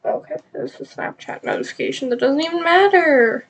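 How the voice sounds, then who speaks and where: A woman's voice: high-pitched, animated, moderate pace. A woman with a stuffed-up nose chatting casually and rambling on a live knitting stream, with many ums.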